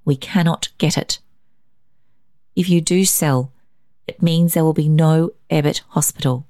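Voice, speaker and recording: A kind, serious young doctor in a storybook, read by a woman. He is soft-spoken and earnest, with a low mellow tone. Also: fairly even intonation, unhurried, clean studio sound. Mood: grave. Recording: roomy echo, faint music.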